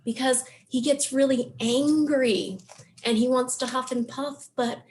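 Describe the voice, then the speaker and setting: Slow, higher-voiced woman hosting preschool story time. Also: fairly even intonation, darkish tone. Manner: simple, encouraging explanations aimed at young children. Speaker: children's library program host. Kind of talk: preschool story time, songs and book talk.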